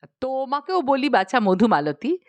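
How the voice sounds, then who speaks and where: A woman's voice: high-pitched, very animated, moderate pace, darkish tone, dry recording. A woman voicing a fretful middle-aged queen mother in a storybook, chiding and nagging in a motherly way. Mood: exasperated. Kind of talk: dramatised storybook reading.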